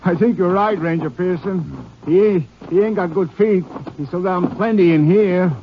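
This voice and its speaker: Higher-voiced guide. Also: male voice, normal speed. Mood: helpful, cautious. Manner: deferential, simple plain phrasing. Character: Mexican-American posse rider, obliging, cautious local guide. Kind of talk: 1950s radio western acting. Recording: noisy, dull old radio recording.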